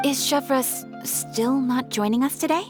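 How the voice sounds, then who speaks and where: A woman's voice: high voice, a little slow. A woman voicing a polite, earnest young film crew member in a video game. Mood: friendly. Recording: prominent game music behind the voice. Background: music.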